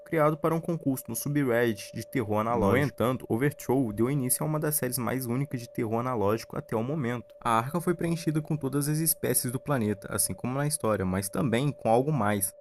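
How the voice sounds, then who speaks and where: A man's voice: medium pitch, fast, hardly any pauses, a little hiss or hum. A man with a Brazilian accent reading scripted narration in a documentary-style video essay.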